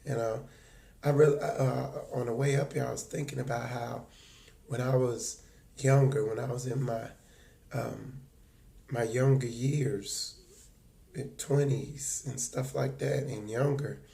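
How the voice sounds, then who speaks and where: A man hosting a livestream in casual, rambling chat, his voice raspy and hoarse from just waking up, talking in halting, earnest stretches. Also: mid-range voice, steady tone, mellow. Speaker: livestream host.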